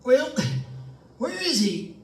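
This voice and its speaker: A nervous, excitable, penny-pinching property owner from an old suspense radio play, acted by a man. The voice is extremely high and unhurried, with fretful stammers. It sounds roomy and a bit away from the microphone. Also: exaggerated voice. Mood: anxious, agitated. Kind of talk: old-time radio suspense drama acting. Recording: roomy, distant microphone, faint noise.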